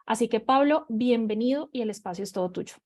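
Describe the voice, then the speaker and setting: A woman's voice: fairly high pitch, brisk, darkish tone. A woman moderating an online conference, welcoming and introducing the speaker in a friendly way.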